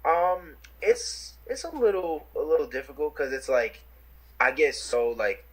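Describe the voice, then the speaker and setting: Young new guy on a video call, kinda husky, lots of "like" and restarts. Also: fairly high pitch, measured pace, rough recording. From New York City. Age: young adult. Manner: rambling, lots of fillers and restarts. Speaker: interviewee, rapper. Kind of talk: casual chat.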